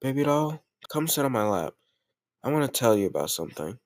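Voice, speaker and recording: A man's voice: medium pitch, big pitch swings, a little fast, no echo, clean studio sound, close to an everyday voice. A man playing a doting but ruthless mafia boss in an intimate audio roleplay, commanding yet affectionate, using gangster slang. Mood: fond, relaxed.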